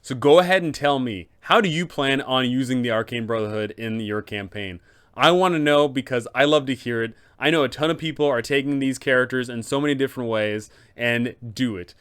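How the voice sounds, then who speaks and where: A man's voice: medium pitch, brisk, fairly bright tone, smooth. A man hosting an explainer for game masters, speaking in an emphatic, enthusiastic way. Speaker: host, game master giving advice. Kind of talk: semi-scripted explainer on tabletop roleplaying.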